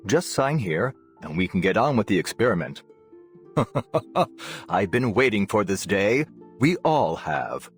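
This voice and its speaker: An artificial scientist for a video game, curious and officious. The voice sits low and swings widely in pitch, with fussy, scholarly precision. Light music runs behind it. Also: male voice, slow pace. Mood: eager, officious. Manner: animated, fussily precise, scholarly. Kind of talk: video game character voice lines.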